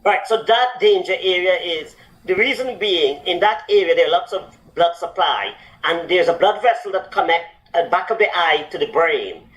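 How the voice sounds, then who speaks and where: A man's voice: high-pitched, lively intonation, normal speed, a bit bright, rough recording. A man who is a doctor, explaining health advice on a talk show with lots of fillers and restarts. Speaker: doctor answering health questions. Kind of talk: medical advice chat.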